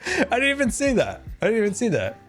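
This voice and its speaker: A man chatting casually on a game live stream, excitable and talkative, thanking his viewers as he plays. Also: very high-pitched, quick pace, soft background music.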